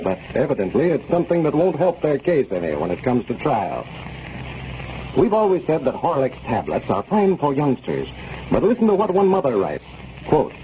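A male radio announcer delivering a scripted sponsor announcement in a smooth, polished style. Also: medium pitch, mellow, a little hiss or hum. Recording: muffled 1930s radio broadcast.

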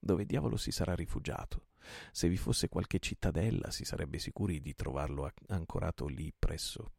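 A man with a gravelly voice voicing a loyal male pirate comrade in an audiobook, brisk when ordering and calm when reassuring. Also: low-pitched, no echo, clean studio sound, full character voice. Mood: musing.

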